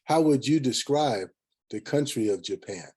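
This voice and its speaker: A man moderating a webinar, speaking in a halting, measured way as he hosts without a script. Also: medium pitch, lively intonation, mellow, slightly rough. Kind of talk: unscripted hosting.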